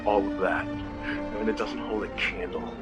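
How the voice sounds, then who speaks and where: A man's voice: lowish voice, lightly acted. Man playing a bitter, broken soldier, music behind. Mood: bitter, agitated. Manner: halting, repeating, breaking off words. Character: bitter, anguished war-haunted man confessing in despair. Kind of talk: dramatic monologue, fan-made play.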